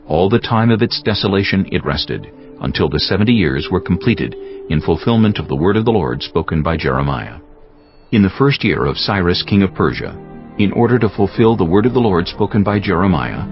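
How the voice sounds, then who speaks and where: A man narrating scripture for a dramatized audiobook in a solemn, measured storytelling style. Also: deep voice. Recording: muffled, music bed behind.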